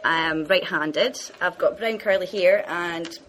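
Low, matter-of-fact Scottish woman. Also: measured pace, fairly bright tone, a little hiss or hum. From northeast Scotland. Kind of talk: self-introduction.

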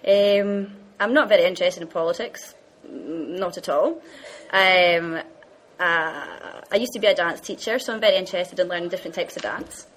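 Scottish woman, lowish voice, matter of fact, faint hiss behind. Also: normal speed, fairly bright tone, rough recording. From northeast Scotland. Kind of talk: self-introduction.

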